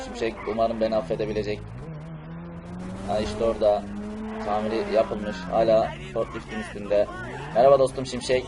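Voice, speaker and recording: A man role-plays a hot-tempered male cartoon superhero over a video game. The voice is fairly low and emphatic. Noisy vehicle and battle sounds run behind it. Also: level tone, normal speed, pauses often, close to an everyday voice. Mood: calm, apologetic. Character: angry, unhinged male cartoon superhero on a rampage.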